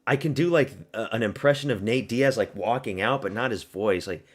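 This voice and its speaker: A man's voice: medium pitch, brisk. A man from the American South hosting a fight watch party stream, talking loosely and profanely with his viewers. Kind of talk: casual live-stream commentary.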